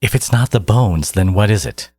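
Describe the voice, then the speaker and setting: A man playing a menacing, condescending genius supervillain in an audio roleplay, exasperated and obsessive yet caring, with a low, gravelly, husky voice. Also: normal speed, a little mellow, dry recording, studio quality, natural voice. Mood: exasperated, impatient. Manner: condescending, menacing, theatrical, slipping into whispers. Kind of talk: dramatic second-person audio roleplay monologue.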